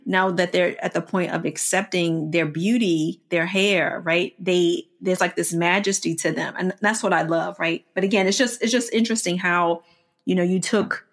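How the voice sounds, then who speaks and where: A middle-aged woman with an American accent, interviewing conversationally in an effusive, rambling way and often checking for agreement. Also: fairly low pitch. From Washington DC, United States.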